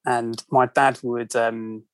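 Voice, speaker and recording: A man's voice: mid-range voice, flat delivery, takes it slowly, dry recording. A man with a London accent, a podcast guest chatting casually with many ums and self-deprecating asides. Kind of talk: casual interview chat.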